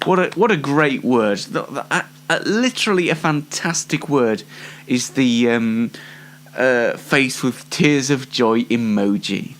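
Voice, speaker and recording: Young British man, very animated pitch, unhurried. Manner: dry, tongue-in-cheek, rambling. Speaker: host of a one-man online show. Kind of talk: informal solo show presenting.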